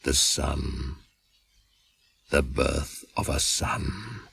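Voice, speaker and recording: Very deep, ancient shaman spirit. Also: lively intonation, creaky, noisy background, exaggerated voice. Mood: brooding, resentful. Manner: incantatory, deliberate, dramatic. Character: ancient pagan solstice shaman spirit, male, solemn, brooding, scornful. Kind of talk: poetic radio drama monologue. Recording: 1980s radio broadcast, music underneath. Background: music.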